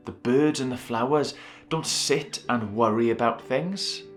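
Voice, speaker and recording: A man's voice: medium pitch, measured pace, faint music behind. A man presenting and reading a children's Bible story in a chatty, storytelling style.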